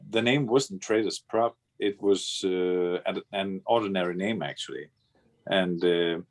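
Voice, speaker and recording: Danish man, low voice, non-native English, hesitant guest on a call. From Denmark. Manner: hesitant, many fillers, chuckles now and then. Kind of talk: casual video call interview. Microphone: video call.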